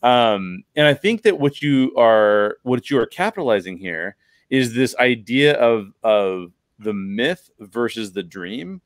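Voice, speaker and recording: A man's voice: medium pitch, animated, a little slow, no room sound. A man in his forties talking casually and emphatically as a podcast host, explaining his view on films. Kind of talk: casual film discussion. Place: bedroom at home. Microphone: large microphone close to the mouth.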